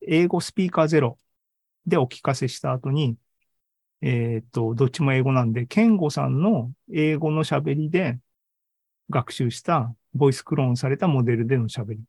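Man presenting casually, halting, dry sound. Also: mid-range voice, darkish tone, slightly rough, dry recording. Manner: halting, thinking aloud. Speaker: podcast host and tech presenter. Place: online video meeting talk, dry close sound.

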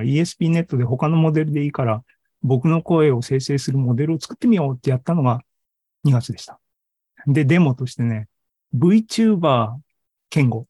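A man with a soft-edged, mellow and rather husky voice gives an informal tech presentation. He talks conversationally, dropping in asides and little tag words as he explains. The sound is close and dry. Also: mid-range voice, no room sound. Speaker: presenter at an AI hobby forum and podcast host. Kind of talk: informal live tech presentation over an online meeting. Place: online Zoom live session.

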